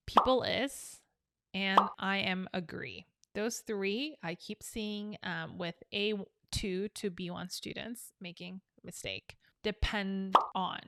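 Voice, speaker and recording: Slow-talking woman teaching English. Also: mid-range voice, background noise. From South Korea. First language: Korean. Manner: chatty and upbeat, explaining with examples. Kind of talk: casual online English lesson.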